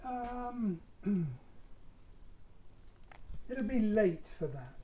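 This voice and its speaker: A man, a little hoarse today, explaining his painting as he works in a casual live demo, with soft, dry, understated replies. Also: high-pitched, animated, extremely slow, slight background noise, poor audio. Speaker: artist demonstrating painting.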